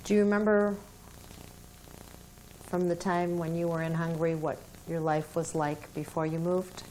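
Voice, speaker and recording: Calm woman interviewer, lowish voice. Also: normal speed, a little hiss or hum, rough recording. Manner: calm, plain, methodical questioning. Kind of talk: oral history interview questions.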